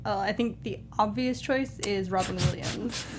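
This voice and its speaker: A woman's voice: medium pitch, normal speed, rough recording. A woman chatting casually as a panelist on a film review show. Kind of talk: casual chat.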